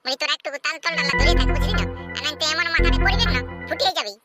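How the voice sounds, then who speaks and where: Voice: high-pitched, rapid-fire, lightly acted. A performer voicing a bossy, boastful village boy in a comedy cartoon, with a rustic Purulia accent and a teasing, exclamatory, repetitive delivery over music. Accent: Purulia, West Bengal. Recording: loud music behind the voice. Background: loud music.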